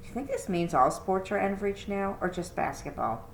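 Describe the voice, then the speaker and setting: A woman's voice: medium pitch, expressive, fairly quick, voice pushed up, lightly acted. A stubbornly hopeful middle-school girl from a storybook, acted out by a woman reader. She is sarcastic and dramatic about her own shortcomings. The sound is roomy, with faint noise behind. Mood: hopeful, scheming. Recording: roomy echo, background noise.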